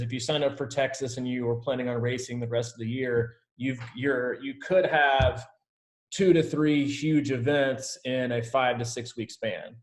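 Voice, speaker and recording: A man working as a triathlon coach, informally and reassuringly answering team questions on a video call. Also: medium pitch.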